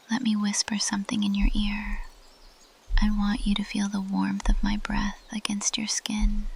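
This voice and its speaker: A woman voicing a possessive, lovestruck ancient plant monster girl in an intimate, husky whisper, as ASMR roleplay close to the microphone. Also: mid-range voice, exaggerated voice, rough recording. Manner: slow, intimate, possessive murmuring.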